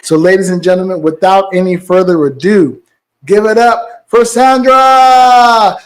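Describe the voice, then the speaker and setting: A man's voice: sits high, steady tone, a little slow. A man hosting a travel podcast, talking in a hyped, playful way, sitting down with a guest. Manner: hyped, playful, laughs easily. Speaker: podcast host. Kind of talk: casual podcast chat.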